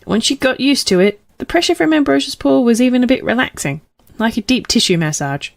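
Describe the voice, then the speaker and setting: A woman's voice: on the high side, lively intonation, fairly quick, gravelly, dry recording, studio quality. A woman reading a storybook aloud as scripted audiobook narration.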